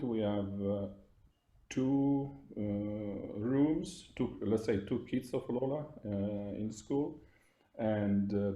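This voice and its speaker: Halting man from Italy on a video call. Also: fairly low pitch, warm tone. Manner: halting, many fillers and restarts. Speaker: music academy staff, conference participant. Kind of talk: introducing himself on a video call. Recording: lo-fi video call audio in an echoey room.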